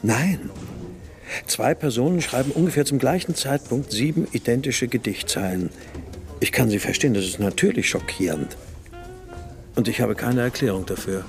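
An actor playing a troubled, weary writer in a radio drama, speaking in a sober, measured, introspective way. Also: male voice, medium pitch, soft background music, natural voice. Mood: puzzled. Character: troubled male writer recounting his daughter's disappearance, brooding, weary. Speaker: narrator and lead character. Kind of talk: radio drama, introspective first-person narration and dialogue.

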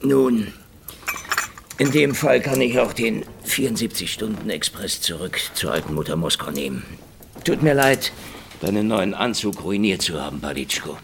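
An actor playing a sardonic, weary and menacing male private detective in a noir radio drama, with drawling dark wit. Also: on the low side, sing-song, normal speed, vocal fry, a little hiss or hum. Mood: dry, probing. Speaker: lead actor and narrator in radio play. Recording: music under the voice.

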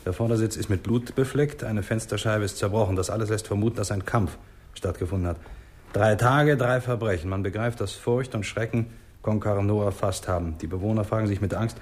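An actor playing a nervous, fearful small-town doctor, agitated and overwrought, in a 1960s German radio crime drama. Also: male voice, fairly low pitch, a little fast, a little mellow, close to an everyday voice. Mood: tense, alarmed.

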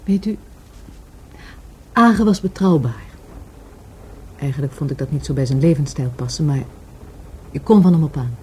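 An actress in a Dutch radio drama playing a wary woman questioned about her dead friend, with a husky voice. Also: low voice, moderate pace, pauses often, a little mellow, slight background noise, close to an everyday voice. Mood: reminiscing.

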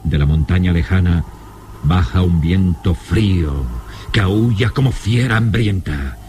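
Solemn deep-voiced male radio serial narrator. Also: measured pace. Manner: solemn, suspenseful, theatrical. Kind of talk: vintage radio drama scripted narration.

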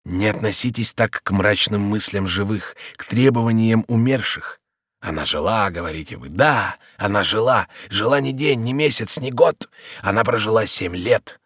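A man reading aloud and voicing a calm, compassionate old servant in a measured, solemn way. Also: lowish voice, husky, dry recording, clean studio sound. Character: older male servant, calm, grave and compassionate.

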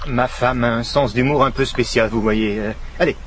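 An actor playing a suave, wealthy, effusive husband with a French accent in a radio drama. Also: male voice, mid-range voice, steady tone, a little fast, background noise, natural voice. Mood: affable, defensive. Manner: effusive, peppering talk with French. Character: suave wealthy French-speaking husband, genial, affected host.

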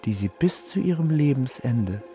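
An actor as a menacing, imperious phantom, wide-swinging voice. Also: male voice, mid-range voice, animated, mellow, close to an everyday voice. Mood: coldly threatening. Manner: formal, commanding, deliberate. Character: menacing, imperious opera phantom dictating his demands. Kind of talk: German radio play acting. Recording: music behind the voice.

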